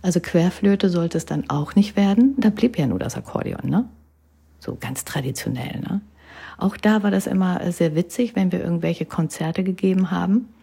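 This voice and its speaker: Chatty woman with a gravelly, husky voice. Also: fairly low pitch, expressive, moderate pace, mellow. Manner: chatty, keeps adding "ne?", drops to whispers. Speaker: vlogger. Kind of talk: casual personal storytelling.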